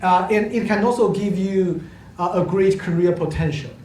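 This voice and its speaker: A man's voice: high-pitched, normal speed, a little mellow. A man presenting a university program to prospective students, hesitant with many fillers and restarts. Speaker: program speaker at a university info day. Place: lecture hall. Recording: roomy hall, distant mic, faint hum.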